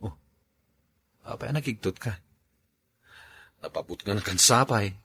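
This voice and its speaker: A man with an Ilocano accent playing a friendly, gentlemanly young bachelor in an audio drama, casual and coaxing. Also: on the low side, a little slow, husky, exaggerated voice. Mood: courting. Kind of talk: radio drama acting in Ilocano.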